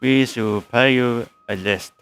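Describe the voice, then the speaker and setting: A woman's voice: extremely low pitch, takes it slowly, clean tone, no echo, soft background music. A woman livestreamer making short, offhand remarks over gameplay.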